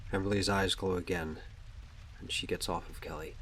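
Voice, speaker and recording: A man's voice: deep voice, fairly even intonation, normal speed, a bit bright, a little hiss or hum, rough recording. A man narrating a scripted audio drama, describing the action as the story's narrator.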